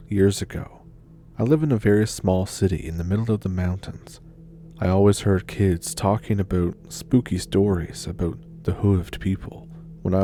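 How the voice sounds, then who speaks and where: A man reading submitted scary stories as a storytelling host, in a measured, unhurried scripted narration. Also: sits low, mellow.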